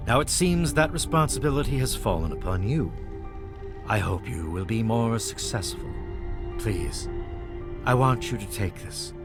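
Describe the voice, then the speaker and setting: A man voicing a formal, solemn leader of a vampire clan in a video game, speaking in measured, courteous phrases. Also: lowish voice. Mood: solemn, resigned. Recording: music playing behind the voice.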